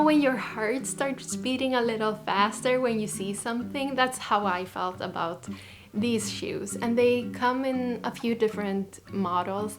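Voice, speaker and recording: Chatty woman vlogging. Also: medium pitch. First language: Swedish. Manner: enthusiastic, chatty, with frequent restarts. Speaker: fashion vlogger. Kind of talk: casual chat to camera.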